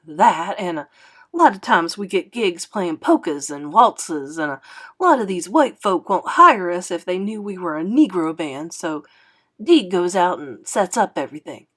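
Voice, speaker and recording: A woman's voice: on the low side, very animated, measured pace, fairly bright tone, heavily acted. A woman voicing a teasing, proud, blunt male musician from a 1930s jazz band in a storybook. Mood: matter-of-fact. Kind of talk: acted storybook dialogue.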